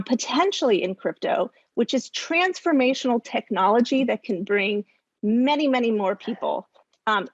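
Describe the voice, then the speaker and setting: A woman speaking off the cuff as a policy expert, halting and emphatic with repeated words. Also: on the high side, breathy edge, dry recording, highs cut off. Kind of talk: spontaneous panel commentary.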